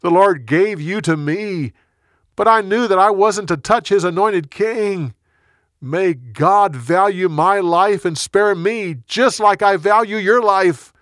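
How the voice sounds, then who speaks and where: A man's voice: fairly high pitch, steady tone, takes it slowly, hardly any pauses, no echo, studio quality. A man voicing a bold, righteous and loyal warrior leader in an earnest, declamatory way for a story. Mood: pleading, earnest.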